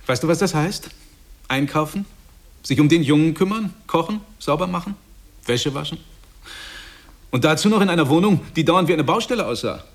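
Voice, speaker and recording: Radio play: self-satisfied young father, effusive and preachy, slightly husky, very animated delivery. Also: fairly high pitch, normal speed, pauses often. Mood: smugly admiring. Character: smug, self-satisfied husband and new father, preaching family bliss. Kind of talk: stage-like radio drama dialogue.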